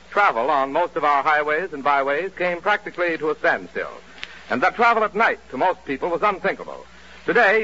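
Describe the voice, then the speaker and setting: Male sponsor announcer, polished and formal, bright, on a dull old-time radio recording. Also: on the high side. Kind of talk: scripted 1940s sponsor announcement.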